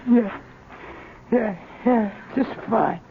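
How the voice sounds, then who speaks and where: An actor playing a worn-down, evasive ex-thief who talks in folksy slang, in a radio drama. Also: male voice, high voice, drawn-out words, vocal fry, heavily acted. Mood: weary, resigned. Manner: slangy, colloquial pleading. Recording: tinny, noisy old radio broadcast.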